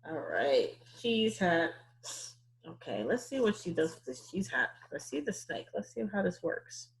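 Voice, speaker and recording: Woman, children's story-time teacher. Husky, lowish voice, questioning and thinking aloud for young listeners. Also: sing-song, a little slow, rough recording. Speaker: teacher leading a read-aloud.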